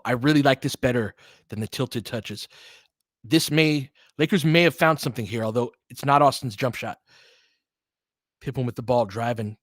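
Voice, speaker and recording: A man's voice: mid-range voice, dry recording. A man doing casual live basketball play-by-play and analysis, conversational and openly rooting for his team with exclamations on big plays.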